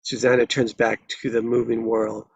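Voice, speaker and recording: Male stage-directions narrator, gravelly and raspy, terse, deliberate dramatic delivery. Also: medium pitch, level tone, no room sound.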